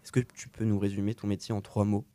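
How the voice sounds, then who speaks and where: Low-voiced, mellow man hosting an interview. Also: flat delivery, fast, slight background noise. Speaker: interview host. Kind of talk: informal radio-style interview.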